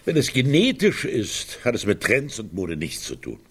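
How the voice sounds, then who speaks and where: An actor playing a pragmatic, pedantic older man in a German radio drama, insistent, with a husky voice. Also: medium pitch, wildly swooping pitch, normal speed, fairly bright tone, exaggerated voice. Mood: disdainful. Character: pragmatic, pedantic older brother pressing business matters.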